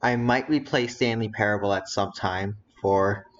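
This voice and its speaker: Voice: mid-range voice, normal speed, lo-fi sound, a little hiss or hum. A streamer chatting casually during a game stream, thinking aloud and muttering asides while browsing.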